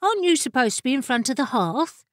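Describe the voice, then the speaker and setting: A woman's voice: high voice, sing-song, fast talker, no echo, studio quality, pitched above the performer's own voice. A woman voicing a headstrong, inquisitive female fire creature in a storybook, pert and formally articulate. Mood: indignant.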